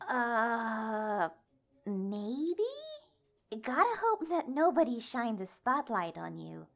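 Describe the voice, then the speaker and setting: A woman playing a modest, gentle and slightly defensive young woman, hesitant and earnest, in an audio drama. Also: fairly high pitch, big pitch swings, takes it slowly, gravelly, full character voice. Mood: dry, casual.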